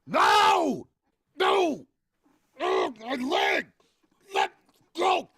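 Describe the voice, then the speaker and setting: A man's voice: very high voice, huge pitch swings, extremely slow, bright, forceful tone, no echo, studio quality, heavily acted. A man playing a villainous, cowardly property agent in a melodramatic 1940s-style horror radio play. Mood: terrified. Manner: melodramatic, stammering. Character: menacing male property agent turned panicked, cowardly villain.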